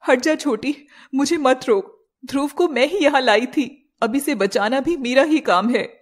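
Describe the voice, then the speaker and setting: Woman playing a high, fierce serpent woman. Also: normal speed. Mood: determined. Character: fierce, devoted shape-shifting serpent woman, elder sister.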